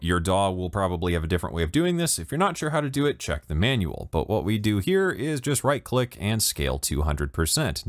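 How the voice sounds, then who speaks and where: A young man with a low voice hosting a casual music production tutorial from his home studio, chatty and relaxed, speaking into a studio mic. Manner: relaxed, chatty, many fillers like "kind of" and "you know". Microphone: studio condenser mic on stand, wearing headphones.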